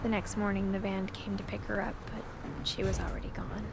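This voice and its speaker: An actress playing a reflective, grieving young woman who narrates a video game in a quiet, measured way. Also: mid-range voice, level tone, vocal fry, very noisy, lightly acted. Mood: reflective, sad. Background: game music.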